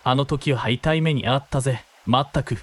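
A man's voice: mid-range voice, moderate pace, close to an everyday voice. A man playing a cocky, menacing thug in a radio drama, with coarse, taunting, slangy speech. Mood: smug, leering. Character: cocky, menacing male thug pestering a shrine maiden. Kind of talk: Japanese radio drama acting.

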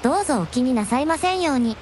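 A gentle young dragon princess with a high voice, played by a woman in a radio drama. She speaks in refined, devoted, very courteous phrases. Some noise sits behind the voice. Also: lively intonation, quick pace. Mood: reassuring. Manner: refined, deferential, ladylike honorific speech. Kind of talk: Japanese radio drama acting.

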